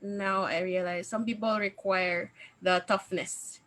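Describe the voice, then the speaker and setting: A woman host interviewing a coach, speaking haltingly with many fillers and frequent giggles. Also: mid-range voice, fairly even intonation, a bit bright. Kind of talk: casual interview chat.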